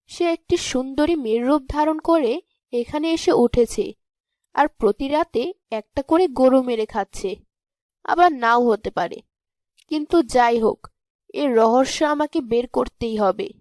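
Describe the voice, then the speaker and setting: A kindly but shrewd village mother in a cartoon fairy tale , acted by a woman. Her voice is high, and it is recorded dry, without room sound. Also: unhurried, darkish tone, no echo. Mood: suspicious.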